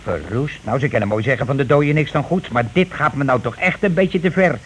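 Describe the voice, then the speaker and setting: An actor playing a blunt, self-righteous working-class husband in a radio play, grumbling, bossy and rather pompous. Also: male voice, medium pitch, lively intonation, brisk, breathy edge. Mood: indignant. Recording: noisy old radio play recording.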